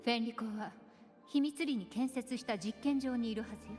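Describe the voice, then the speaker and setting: A woman's voice: on the high side, very fast, darkish tone, lightly acted. An actress playing a composed, aloof woman informant in a video game, cool and matter-of-fact. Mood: cool, informative. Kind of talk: Japanese video game voice acting. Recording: reverberant game audio with music.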